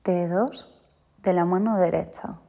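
Very slow-paced woman guiding meditation in reverb. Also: on the low side, fairly even intonation, creaky, warm tone. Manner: soothing, deliberate, short spaced instructions. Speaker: yoga teacher guiding a relaxation. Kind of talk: guided yoga nidra meditation. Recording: long reverberant hall-like echo.

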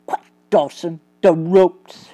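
A woman voicing a calm, stern male gentleman detective who speaks formally. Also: fairly low pitch, slow pace.

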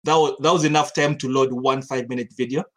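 A man's voice: mid-range voice, fairly even intonation, quick pace. Young man from Uganda, podcast guest, talks in loose rambling stretches with restarts and laughs. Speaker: studio co-founder guest. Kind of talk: casual podcast chat.

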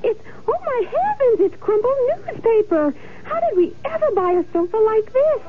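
Tinny and hissy like an old radio, a flustered housewife. Her extremely high voice swoops about melodramatically in a comic ad skit. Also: sing-song, moderate pace. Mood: wailing dismay. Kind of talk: exaggerated radio advertisement skit.

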